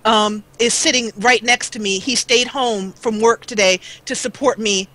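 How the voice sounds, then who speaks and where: A middle-aged American woman talking earnestly on a live show, halting and repeating words as she goes. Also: a little high, steady tone, a bit bright. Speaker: guest, gaming video creator. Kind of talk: live talk show conversation.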